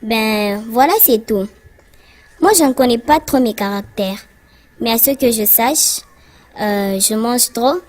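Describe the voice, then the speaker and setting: A woman's voice: a little high, slow pace, a little mellow, soft background music. A woman voicing a cheerful schoolgirl who narrates a cartoon. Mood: friendly, explanatory. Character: Sonia, cheerful schoolgirl narrator introducing her friends.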